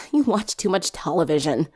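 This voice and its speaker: A weary teenage vampire girl, played by a woman narrator, slightly low in pitch. She sounds evasive and understated, with an exasperated edge to her storybook dialogue. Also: expressive, moderate pace, husky.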